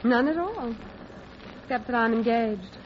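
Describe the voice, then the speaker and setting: A woman's voice: a little high, lively intonation, measured pace. Witty, lilting 1940s radio leading lady. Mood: playful, wry. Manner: playful, teasing, wry. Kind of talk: 1940s radio romantic comedy acting. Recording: muffled, noisy old radio broadcast.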